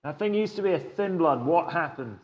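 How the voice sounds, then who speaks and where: A man's voice: on the high side, lively intonation, a little fast, lo-fi sound. A man speaking casually as a player at a live tabletop game show, describing his actions and counting rolls. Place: live convention show before an audience.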